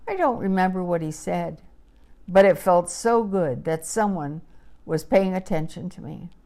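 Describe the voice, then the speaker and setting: Woman as a slow, expressive little schnauzer girl. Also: lowish voice. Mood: contented, cosy. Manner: slow, expressive, simple childlike phrasing. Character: gentle wistful little female miniature schnauzer puppy telling her story. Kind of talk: children's audio story narration.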